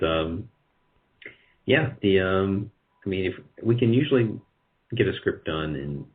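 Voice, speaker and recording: Low-voiced man in a muffled interview. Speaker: guest, radio drama producer. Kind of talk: conversational interview answers.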